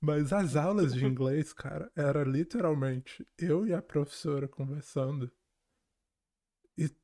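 Man chatting, slightly high voice. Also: unhurried, mellow. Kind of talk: casual chat, personal storytelling.

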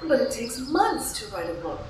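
A woman's voice: a little high, big pitch swings, moderate pace, far from the mic, background noise. A woman playing a spirited, stubborn young widow in an audio drama, prim and indignant as she stands her ground. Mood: exasperated. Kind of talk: theatrical audio drama acting. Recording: echoey room, off-mic, music behind.

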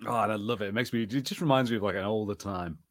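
A man's voice: on the low side, rapid-fire. A man co-hosting a podcast, chatting enthusiastically and nostalgically in casual watch-party commentary. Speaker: podcast co-host.

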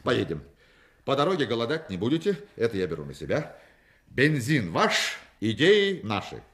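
A man's voice: medium pitch, wildly swooping pitch, normal speed, fairly bright tone. An actor playing a witty, commanding male con man in a Russian radio play, talking with mock-pompous irony and grand declamation. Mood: teasing, confident.

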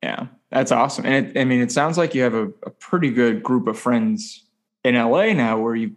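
A man's voice: mid-range voice, a little fast. A man from the American Midwest hosting a podcast, chatting casually with a joking, self-deprecating manner. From US Midwest (Ohio). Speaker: podcast host. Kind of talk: casual conversational interview.

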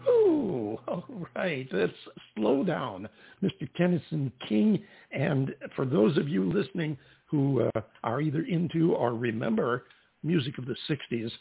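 A man's voice: on the high side, very animated, a little slow, creaky, slightly rough, faint music behind. A male host interviewing a musician in a casual, chatty way, repeating words as he thinks. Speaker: radio show host. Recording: tinny phone-like line.

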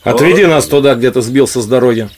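An actor playing a bold, fair-minded outlaw leader in an audio drama, speaking with deliberate authority. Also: male voice, mid-range voice, natural voice. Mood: guarded. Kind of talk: Russian audio drama acting.

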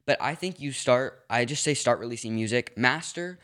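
A teenage boy from Los Angeles co-hosting a podcast in casual chat, quick and chatty. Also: mid-range voice, a bit bright. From Los Angeles, USA. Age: teens. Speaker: podcast co-host.

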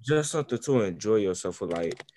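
A man's voice: on the low side, animated, brisk, a little mellow, dry recording, clean studio sound. A man in casual podcast chat, emphatic and slangy as he backs up a point.

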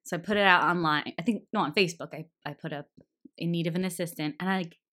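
A woman's voice: lowish voice, fast, a bit bright. A woman in her thirties chatting casually as a podcast guest, giggly and full of fillers. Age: 30s. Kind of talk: casual chat.

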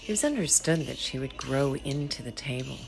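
A woman reading a literary short story in a hushed, deliberate, dramatic way, often sinking to a whisper. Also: rumbling low, lively intonation, gravelly, rough recording. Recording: music behind the voice. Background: music.

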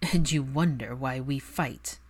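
A woman voicing a bitter adult aristocratic son in an audiobook-style story, cold, angry and biting in a deliberate delivery. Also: a bass voice, husky, pitched below the performer's own voice, full character voice. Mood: cold anger.

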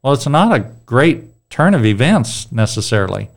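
A man's voice: mid-range voice, very animated, normal speed, vocal fry. A middle-aged man with a strong voice leading a casual Bible discussion, rambling and restarting his sentences. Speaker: Bible study leader.

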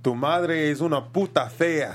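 An actor playing a brutal, threatening crime enforcer in a screenplay table read. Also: male voice, a little high, normal speed, faint music behind, close to an everyday voice. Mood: menacing anger.

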